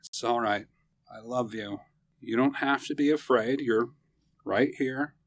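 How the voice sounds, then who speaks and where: A woman voicing a gentle husband who softly soothes his wife after a nightmare. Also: low voice, very animated, no room sound, pitched below the performer's own voice, full character voice. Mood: soothing. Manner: soft, soothing, slow.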